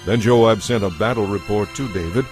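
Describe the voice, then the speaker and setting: A man narrating a dramatized audio Bible from a script, in a measured storytelling manner. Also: lowish voice, darkish tone, soft background music.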